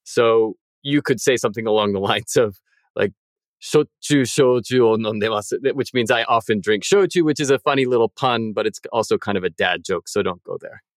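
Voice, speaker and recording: Precise, methodical male co-host, lowish voice, dry recording. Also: normal speed, dry recording. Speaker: podcast co-host. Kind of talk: explanatory podcast chat.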